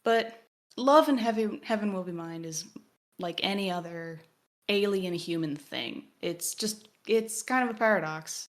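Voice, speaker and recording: A woman co-hosting an analytical conversation, phrasing her points in a deliberate, measured, essay-like way. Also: mid-range voice. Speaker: podcast co-host.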